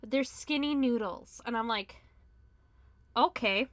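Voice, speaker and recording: A woman's voice: fairly high pitch, takes it slowly, dry recording, studio quality. A woman on a casual podcast telling stories about customer calls, mimicking the callers and laughing along. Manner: lively storyteller, mimics callers, laughs often. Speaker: podcast co-host. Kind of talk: casual chat, storytelling.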